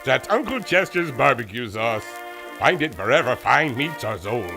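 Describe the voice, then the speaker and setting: A man's voice: mid-range voice, big pitch swings, moderate pace, over music. An actor playing a cheerful German male scientist with a thick, hammy German accent in an old-time radio drama. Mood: hammy salesman.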